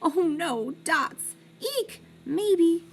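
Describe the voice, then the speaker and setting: A woman's voice: very high voice, lively intonation, extremely slow, pitched above the performer's own voice, heavily acted. A woman voicing a young male spider who dreams of being an artist, earnest and full of wonder. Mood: startled.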